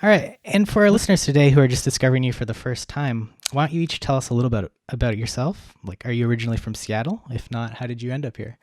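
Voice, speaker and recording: A man's voice: medium pitch, fast, few pauses, darkish tone. A man hosting an interview, asking questions in a friendly, inviting way. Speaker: interview host.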